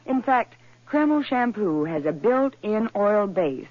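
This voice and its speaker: A woman's voice: medium pitch, sing-song, takes it slowly, dry recording. A woman playing an enthusiastic female shampoo spokeswoman in a 1940s radio commercial, talking in a gushing, upbeat way. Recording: dull old radio recording.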